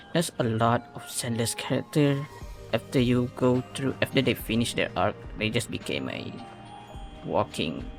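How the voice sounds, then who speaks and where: A man's voice: mid-range voice, vocal fry. A man giving casual reaction commentary as a video host, rambling and often correcting himself. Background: music.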